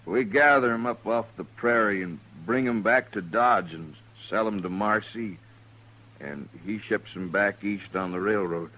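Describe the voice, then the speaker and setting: An actor playing a rough, hard-drinking bone gatherer in a radio western, with a folksy, plain-spoken rural American way of talking. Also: male voice, lowish voice, unhurried, bright, forceful tone, low-quality recording. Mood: sullen. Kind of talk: 1950s radio western acting.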